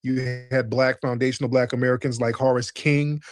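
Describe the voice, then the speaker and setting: A man's voice: mid-range voice, flat delivery, normal speed, faint music behind. A man hosting a live stream debate, lecturing callers in an insistent, interrupting way. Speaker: stream host.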